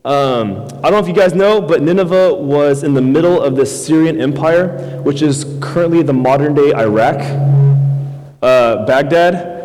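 A man in his thirties from Houston, preaching a relaxed, conversational sermon as a guest youth pastor, with many fillers and questions to the crowd. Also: fairly high pitch, normal speed. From Houston, Texas. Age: 30s. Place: church sanctuary. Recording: long reverb in a large hall.

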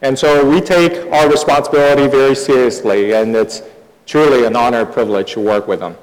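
A middle-aged man from Taiwan who grew up overseas, preaching a sermon in short phrases with pauses for an interpreter. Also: medium pitch, fairly even intonation. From born in Taiwan, grew up overseas. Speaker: guest preacher. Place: large reverberant church hall. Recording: echoey hall with long reverb.

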